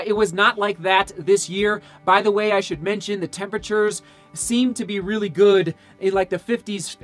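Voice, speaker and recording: A man in his thirties, a little hoarse and tired, breaking down race results to camera in casual, rambling, enthusiastic talk from a small home studio. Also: high-pitched, a bit bright. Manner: enthusiastic, rambling with fillers and self-corrections. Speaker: running vlogger. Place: small home studio with shoe brand boxes.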